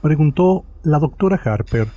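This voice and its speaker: Very dark, mellow-voiced male story narrator. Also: fairly high pitch, expressive, moderate pace, muffled recording. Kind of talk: scripted narration of a horror story.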